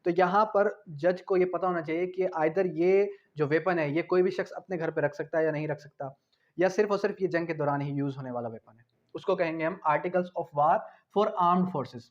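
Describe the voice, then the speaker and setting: A man's voice: a little high, normal speed, dull audio. A man teaching law in an explanatory lecture, switching into English terms and repeating key phrases with emphasis.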